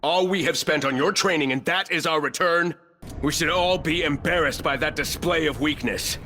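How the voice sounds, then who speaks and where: An actor playing a ruthless, arrogant corporate executive turned combat operator in a video game, speaking in clipped, commanding, coolly condescending lines. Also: male voice, a little high, normal speed, sharp tone. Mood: stern, disdainful. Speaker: playable military shooter operator.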